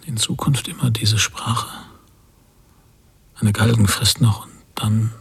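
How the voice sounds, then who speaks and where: An actor playing a weary man of fifty in a literary radio drama, husky and gravelly, brooding and deliberate in his telling. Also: fairly low pitch, steady tone, full character voice. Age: 50s. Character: weary man of fifty, an emigrant struggling to learn English.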